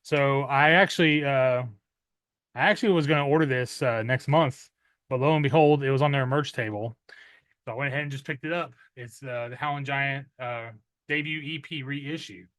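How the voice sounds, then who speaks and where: A man in his thirties casually showing off his metal music purchases at home, rambling with many "uh" fillers and clearing his throat often. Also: mid-range voice, normal speed, fairly bright tone, no echo. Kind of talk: casual unboxing chat.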